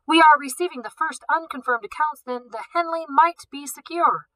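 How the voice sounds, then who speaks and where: A woman's voice: high voice, normal speed, fairly bright tone, voice pushed up, low-quality recording. A woman voicing a television news correspondent reporting live, in an announcing tone.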